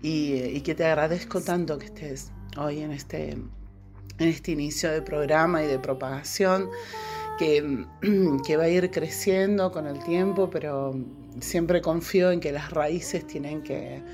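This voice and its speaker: Low-voiced German-native woman hosting a podcast, heartfelt and halting, with clear music behind her. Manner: halting, frequent restarts and throat clearing; effusive, heartfelt thanks. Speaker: podcast host. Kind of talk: podcast interview.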